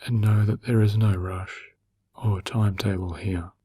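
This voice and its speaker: A man narrating a guided meditation in a gentle, soothing, slow manner with long pauses and a breathy, husky voice. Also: on the low side, level tone.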